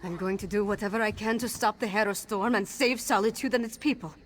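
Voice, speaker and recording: An actress playing a troubled, dutiful young princess in a video game, speaking in an earnest, measured, conflicted way. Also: female voice, mid-range voice, steady tone, soft background music, lightly acted. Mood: conflicted, resolute. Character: troubled young princess, betrayed by her royal father, dutiful. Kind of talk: video game quest dialogue.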